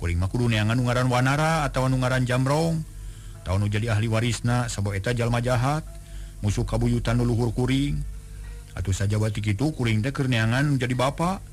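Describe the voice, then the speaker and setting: A man's voice: medium pitch, brisk, soft background music, natural voice. A man voicing an earnest, determined young wandering hero in a told folk tale, speaking plainly.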